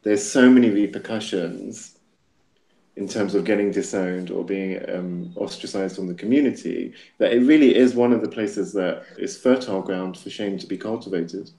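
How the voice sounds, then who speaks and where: Male podcast host, low voice, reflective but animated, echoey room. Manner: reflective, animated, quick to laugh. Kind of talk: casual podcast chat.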